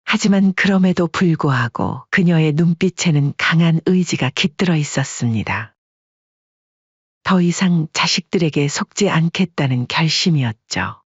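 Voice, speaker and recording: A man narrating a family drama story in an even, dramatic storytelling manner. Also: a little high, normal speed, slightly rough, dry recording. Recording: dull lo-fi dry sound.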